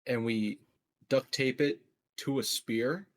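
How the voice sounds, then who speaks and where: A man with a medium-pitched voice makes deadpan, casual remarks as a player in a tabletop game. There is light noise behind his voice. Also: slow. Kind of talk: casual, joking game chat. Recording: faint background noise and clicks.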